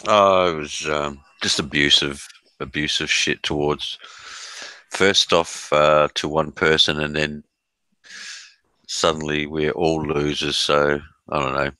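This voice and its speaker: Deep-voiced man hosting a stream. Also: little pitch movement, slow pace, pushed and bright, smooth, no echo. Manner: laid-back, rambling, laughs often. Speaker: live stream host. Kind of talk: casual live stream chat. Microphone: laptop mic.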